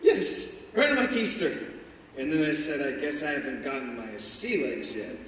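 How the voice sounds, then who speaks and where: A teenage actor playing a cheerful, smitten young groom, talking and singing on a school stage. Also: male voice, on the high side, sing-song, moderate pace, slight background noise. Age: teens. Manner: bright stage delivery, sings a patter song. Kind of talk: stage musical performance. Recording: echoey hall from far off.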